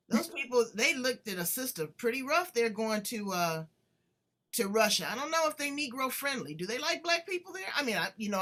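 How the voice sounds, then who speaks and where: A woman from the United States hosting an interview show, chatting in an animated, playful way. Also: high voice. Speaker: podcast host. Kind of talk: casual interview chat.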